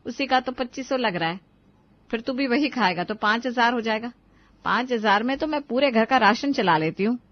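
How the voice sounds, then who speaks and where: A woman's voice: fairly high pitch, lively intonation, moderate pace, no room sound, highs cut off. A woman playing a caring, thrifty middle-aged mother in a cartoon moral story, reasoning and scolding in a homely way.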